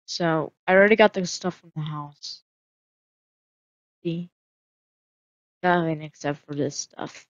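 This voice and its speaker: A woman's voice: deep voice, slow, long pauses, dry recording, muffled recording. A woman casually narrating her solo game roleplay, musing aloud with hesitant fillers.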